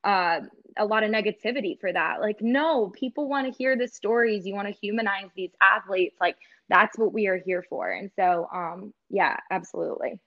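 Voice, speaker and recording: A woman's voice: mid-range voice, normal speed, dull audio. A woman who works as a sports host and reporter, speaking earnestly in a casual interview with lots of "like" and "you know". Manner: earnest, filler-heavy with many "like" and "you know". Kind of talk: casual podcast interview talk.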